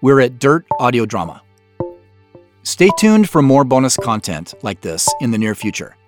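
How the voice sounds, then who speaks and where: A man with a mid-range voice and lively, varied intonation delivers scripted commentary. He explains in a measured way, like a creator presenting his work, with faint music underneath. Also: mid-range voice. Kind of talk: scripted behind-the-scenes commentary.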